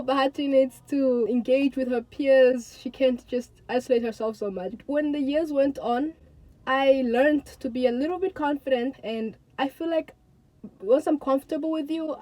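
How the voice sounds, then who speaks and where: A young woman of eighteen from Tanzania talks straight to her viewers in a casual vlog. Her voice sits a bit high but sounds soft and mellow. She speaks earnestly and chattily, often repeating words for emphasis. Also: no echo, low-quality recording. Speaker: vlogger. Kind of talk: casual talk to camera.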